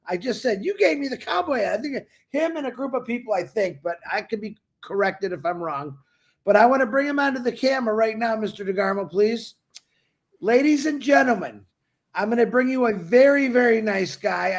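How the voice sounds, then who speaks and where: A man's voice: very high-pitched, lively intonation, fairly quick. A man co-hosting a live stream, introducing a guest in a jokey way with lots of greetings. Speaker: co-host.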